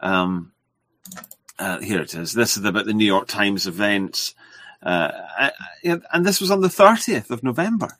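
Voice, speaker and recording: A man hosting a casual podcast discussion, relaxed and hesitant, often pausing on filler sounds. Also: lowish voice, no echo. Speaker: podcast host.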